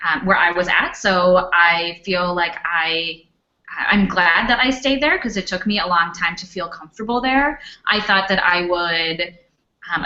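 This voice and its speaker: Chatty young woman, bright lo-fi sound. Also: on the low side. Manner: chatty, many fillers. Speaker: panelist, former English teacher. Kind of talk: Q&A panel talk. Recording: dull lo-fi recording.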